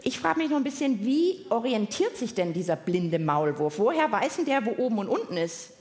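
A woman's voice: a little high, sing-song, a little fast, darkish tone. A woman hosting a live children's science show, asking lots of questions in simple, playful everyday words. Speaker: host of a children's science podcast. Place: live on stage at a podcast festival with audience.

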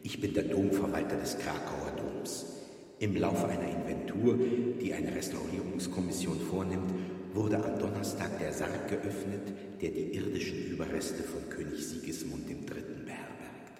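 An actor playing a formal, matter-of-fact cathedral administrator giving an official statement in a German radio play. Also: male voice, low-pitched, normal speed, mellow. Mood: formal, grave. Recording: very echoey hall, far from mic.

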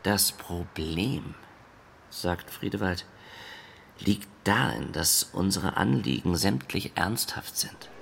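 A man narrates a literary text in a low voice with a gravelly edge. His delivery is measured and formal, setting things out factually like an official report. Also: a bit bright, a little hiss or hum.

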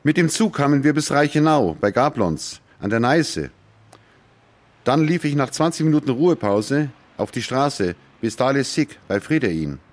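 A man's voice: mid-range voice, sing-song, moderate pace, no room sound. A man giving a scripted reading of a first-person account. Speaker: reader of testimony.

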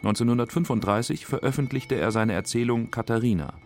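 A man narrating a scripted radio feature in a factual, measured manner. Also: medium pitch, a little mellow, soft background music. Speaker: documentary narrator.

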